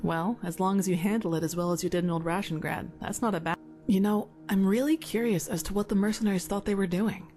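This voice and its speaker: A woman's voice: medium pitch, quick pace, warm tone, close to an everyday voice. A woman acting a courteous, curious young novice of a holy order in a fantasy video game. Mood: curious, respectful. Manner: polite, inquisitive, earnest. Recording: game music behind the voice.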